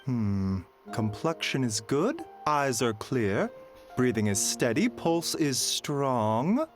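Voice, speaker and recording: Gentle soft-spoken doctor. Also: male voice, medium pitch, big pitch swings, soft background music. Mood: calm. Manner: measured, polite, formal.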